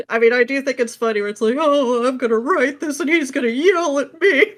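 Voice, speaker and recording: A woman's voice: high-pitched, normal speed. Playful woman chatting as interview guest. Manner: playful, acts out imagined quotes. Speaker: podcast guest, script writer. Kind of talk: casual chat.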